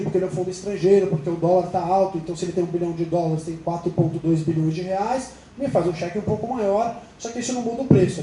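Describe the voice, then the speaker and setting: Brazilian man, company rep at creditors' meeting, fast explainer; high, dark-toned, in a roomy space. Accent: Brazilian Portuguese. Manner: explanatory, fast, quoting others in dialogue. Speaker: company representative presenting to creditors. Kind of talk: business presentation. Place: meeting room. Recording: roomy hall, light noise.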